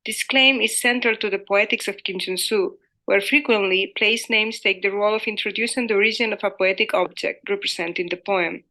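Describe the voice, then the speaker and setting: The bright, clear-cut voice of a woman researcher, a native Spanish speaker, formally reading her paper at a literature conference. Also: medium pitch, fairly even intonation, brisk, few pauses. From Spain. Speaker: academic researcher presenting a paper. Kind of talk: scripted conference presentation read aloud.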